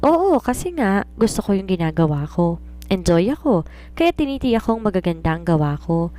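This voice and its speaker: A woman's voice: medium pitch, sing-song, moderate pace, dark tone, natural voice. A woman voicing a confident romance writer in an audiobook, giving earnest, instructive advice like a mentor. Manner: earnest, instructive, self-assured. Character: confident, preachy woman romance writer advising her maid. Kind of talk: audiobook character dialogue.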